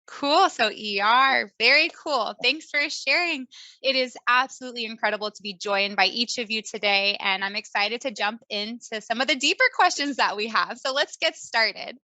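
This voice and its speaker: A woman's voice: on the high side, moderate pace, sharp tone, no echo. A woman hosting an interview with nurses in a cheerful, enthusiastic way. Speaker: host, nurse recruiter.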